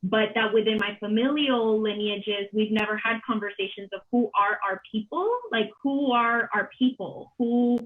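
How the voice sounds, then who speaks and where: A woman in her thirties from Colombia speaking reflectively and haltingly as a panel moderator, with many pauses and repeated words. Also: medium pitch, steady tone. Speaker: panel moderator, arts organization director. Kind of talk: reflective, unscripted panel remarks. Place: home kitchen, on a video call. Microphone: computer mic on a video call. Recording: dull, band-limited video call audio.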